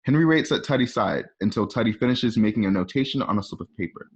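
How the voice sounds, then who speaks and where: A man's voice: on the low side, lively intonation, fast talker, dull audio. A man reading scripted scene directions as the narrator of a radio play.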